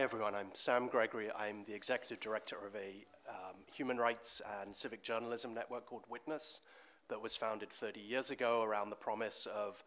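A man's voice: mid-range voice, fairly bright tone. A middle-aged man introducing his work on a conference panel, articulate and earnest. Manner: articulate, earnest, speeding up at times. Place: conference hall stage. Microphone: table microphone.

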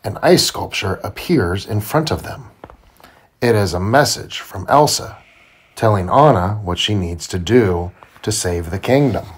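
A man reading a children's storybook aloud to his child in simple, deliberate phrasing. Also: on the low side, animated, husky. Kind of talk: children's read-aloud narration.

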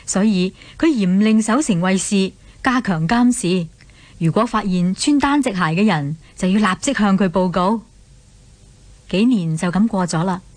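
A woman with a Hong Kong Cantonese accent narrating a scripted radio drama as an expressive storyteller. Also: medium pitch, measured pace, dry recording.

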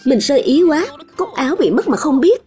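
A woman voicing a fussy, excitable mother in a dubbed children's cartoon. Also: high voice, normal speed, over music. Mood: fretful.

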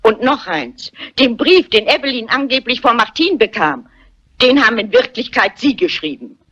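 An actress playing a shrewd, unflappable elderly lady amateur sleuth in a crime film, polite and matter-of-fact, with a husky voice. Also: on the high side, lively intonation, normal speed, a bit bright. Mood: accusing, composed. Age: older. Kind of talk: German dubbed crime film dialogue. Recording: dull, muffled old film soundtrack.

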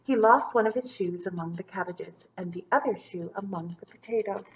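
A woman in her thirties reading a children's story aloud with dramatic emphasis and drawn-out words. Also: mid-range voice, a little hiss or hum, low-quality recording. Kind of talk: expressive storybook read-aloud for young children. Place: small indoor room set up as a classroom.

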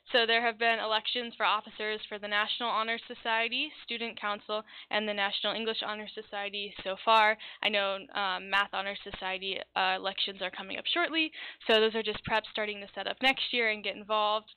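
A teenage girl gives an orderly report on school events in an earnest way. The sound is thin and narrow, like a phone line, with no room echo at all. Her pitch sits at a middle level. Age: teens. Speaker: student representative. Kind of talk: giving a report. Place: meeting room.

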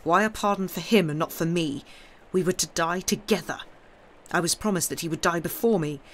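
A man voicing a mean condemned prisoner of about thirty in an audiobook, shouting in desperate, enraged protest. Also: on the high side, fairly quick, slight background noise, natural voice. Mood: outraged, desperate.

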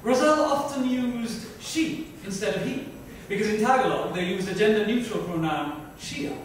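A man narrates a story in a theatrical reading style, his voice pitched high. He sounds slightly distant in a strongly echoing room with faint noise. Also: lively intonation, normal speed. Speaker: reader at a dramatic book reading. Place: club lounge, indoors. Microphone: distant microphone.

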